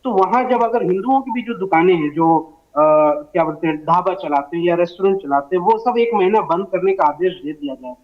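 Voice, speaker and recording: A high-pitched man argues as a panelist in a television debate. He is methodical and lays out his case point by point. The audio sounds a little dull and band-limited. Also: animated, fairly quick. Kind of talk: argumentative TV debate.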